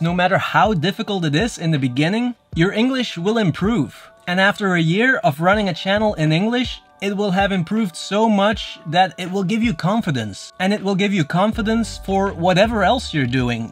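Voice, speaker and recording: A man in his thirties with a Dutch accent, a native Dutch speaker giving earnest advice straight to camera from a dim room, gesturing as he explains. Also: high voice. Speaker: YouTuber. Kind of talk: direct-to-camera advice vlog. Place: dim room with shelves, indoors.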